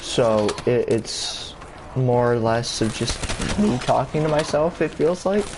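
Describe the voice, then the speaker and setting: A young man casually rambling in a gaming vlog, full of fillers, restarts and casual swearing, his voice jumping higher when he gets excited. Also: slow. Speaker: gaming YouTuber. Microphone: console camera mic. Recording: steady background noise.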